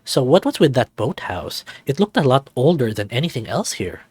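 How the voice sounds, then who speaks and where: A man's voice: mid-range voice, sing-song, moderate pace, a little mellow, slightly rough, no echo. A man voicing an earnest, affectionate young male deer in a visual novel, sincere and plain-spoken. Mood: casual.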